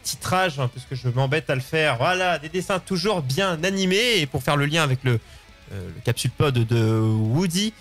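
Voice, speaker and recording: A man's voice: a little high, lively intonation, moderate pace, fairly bright tone. A man, hosting a casual live show and rambling, often repeating words. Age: 20s. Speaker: host of a live open-line show. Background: music.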